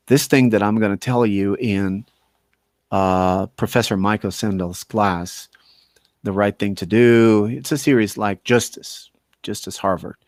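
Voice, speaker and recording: A man's voice: medium pitch, dry recording, studio quality. A man with a Brazilian accent, an English teacher talking informally to learners in an emphatic way, repeating phrases for stress. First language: Portuguese. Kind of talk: informal talk to language learners.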